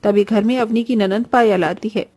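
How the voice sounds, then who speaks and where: A woman's voice: mid-range voice, fairly even intonation, moderate pace, dark tone, no echo. A woman narrating a scripted children's cartoon story as storyteller. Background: faint music.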